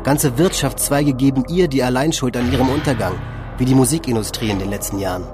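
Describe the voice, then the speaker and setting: A man's voice: mid-range voice, a little fast. A man reading scripted narration for a radio documentary. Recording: loud music under the voice. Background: loud music.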